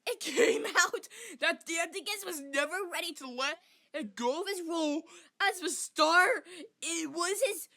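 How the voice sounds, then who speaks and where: A woman's voice: very high voice, a little slow, sharp tone, no room sound. A young woman giving theatrical scripted narration for an amateur comic dub. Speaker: amateur voice-over reader.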